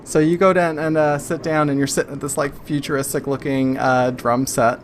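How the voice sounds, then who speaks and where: A woman's voice: deep voice, fairly even intonation, moderate pace, a little hiss or hum. A woman working as game master, improvising narration in a casual, hesitant, conversational way. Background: faint music.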